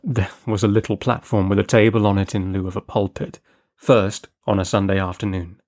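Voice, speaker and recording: A man reading a classic short story as an audiobook narrator, in measured, deliberate storytelling. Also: fairly low pitch, little pitch movement, a little mellow. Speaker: audiobook reader.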